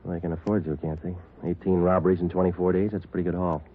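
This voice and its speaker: Deep, clipped, deadpan radio detective sergeant. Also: male voice, rapid-fire. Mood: matter-of-fact. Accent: American. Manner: clipped, matter-of-fact, deadpan. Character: terse, dry, world-weary police detective sergeant. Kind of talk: 1940s radio drama acting. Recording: noisy, narrow-band old radio recording.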